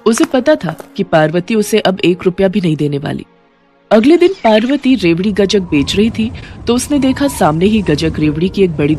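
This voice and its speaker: Woman narrator, medium pitch, scripted moral storytelling, faint music underneath. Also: dark tone. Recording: music bed behind the voice.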